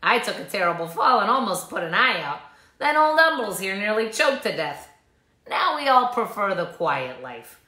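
A woman's voice: medium pitch, very animated, normal speed, pushed and bright, roomy sound, rough recording. A woman voicing a gossiping, boastful old green snake with a wry, knowing manner in a storybook. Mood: reminiscing. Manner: bragging, wry.

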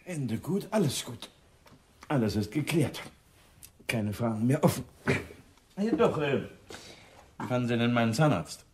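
A man's voice: mid-range voice, animated, a little slow, long pauses, a little mellow, slight background noise, natural voice. A man playing an affable, inquisitive senior police officer in a German radio crime drama, speaking with a questioning, hesitant manner.

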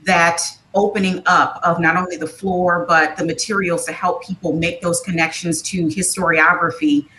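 An American woman in her thirties speaking as a museum panelist, articulate and earnest in measured phrases. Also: a bit bright, low-quality recording. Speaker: museum community engagement panelist. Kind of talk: panel discussion remarks. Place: small furnished room at home, on a video call. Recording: video call with clear noise and low rumble.

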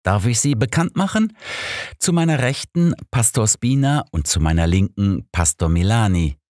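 Venerable male cardinal read by a narrator, courteous, welcoming, formal, deep, audiobook, dry sound. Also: animated, moderate pace, a little mellow, dry recording, natural voice. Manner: gracious, formal.

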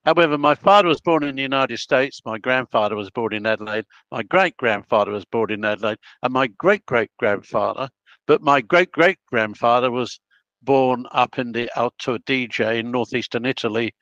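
A man's voice: mid-range voice, normal speed, a bit bright, dry recording. Old aussie guy rambling through career stories, dry dull-sounding audio. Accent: Australian. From Australia. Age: 80s. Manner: rambling, anecdotal, self-interrupting. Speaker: guest, project planner. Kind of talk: casual interview storytelling.

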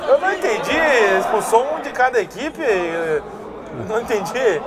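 A man's voice: very high-pitched, very animated, moderate pace, a loud crowd. A man with a Brazilian accent explaining a call and recapping the final standings. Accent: Brazilian Portuguese. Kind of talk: casual explanation.

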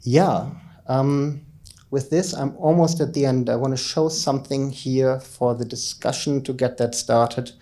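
A man's voice: mid-range voice, steady tone, a little slow, mellow. A middle-aged man giving a keynote talk in an informal, explanatory manner, speaking into a handheld microphone in a conference room. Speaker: keynote speaker, academic researcher. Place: conference lecture room, indoors, at a lectern.

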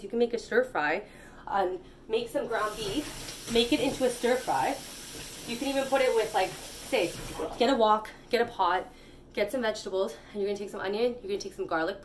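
A woman in her thirties chatting to the camera in a casual, slangy, rambling way as she cooks in a home kitchen. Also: medium pitch. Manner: candid, slangy, conversational with some swearing. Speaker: home cook and vlogger. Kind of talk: casual rambling chat to camera while cooking. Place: small home kitchen, indoors. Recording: home camera audio with clear background noise.

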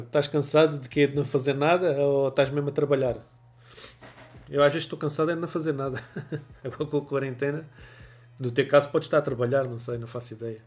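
Chatty Portuguese man with a cold. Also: mid-range voice. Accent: European Portuguese. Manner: chatty, laughs often, greets viewers by name. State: has a cold. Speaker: photography livestream host. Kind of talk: casual livestream chat reading viewer comments. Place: small home room with desk lamp.

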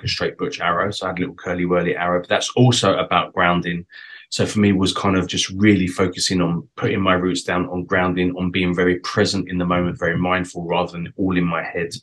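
Deep-voiced British man hosting a call. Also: a bit bright. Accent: British English. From Britain. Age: 40s. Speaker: interview host. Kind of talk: casual video call interview. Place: home room, indoors. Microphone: webcam on a video call.

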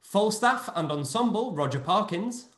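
A man hosting an online play reading, briskly announcing each cast member over a video call. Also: high-pitched, echoey room. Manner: brisk, announcing names in a lively sing-song. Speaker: host and producer.

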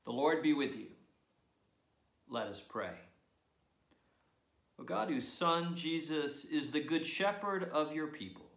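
A man's voice: on the high side, lively intonation, slow pace, vocal fry, long pauses, a bit bright. A man, a priest, giving church announcements from his living room, hesitant with many fillers. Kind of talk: church announcements and opening prayer. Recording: echoey room.

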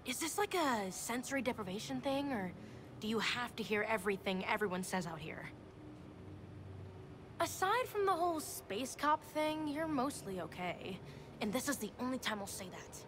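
A woman's voice: fairly high pitch, very animated, normal speed, background noise. An actress playing a wry, casual teenage girl antihero in a video game.

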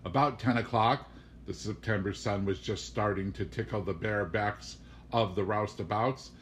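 A man's voice: fairly low pitch, normal speed, fairly bright tone, a little hiss or hum. A man reading a comic literary novel aloud as scripted audiobook narration. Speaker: audiobook reader.

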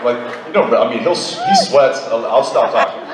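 A man's voice: medium pitch, wildly swooping pitch, heavy background noise. A man on a convention panel, cracking teasing jokes into a handheld mic, recorded from the audience. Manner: teasing, quick interjections. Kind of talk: joking banter on a convention stage. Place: large convention hall with a crowd. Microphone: handheld mic, recorded by a far camera. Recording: echoey hall recorded from far off, loud crowd.

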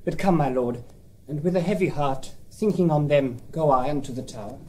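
An actor playing an earnest, proud young prince in a Shakespearean audio drama, formal and declamatory. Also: male voice, a little high, fairly quick, a little mellow, slight background noise, lightly acted. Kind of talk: Shakespearean radio drama acting.

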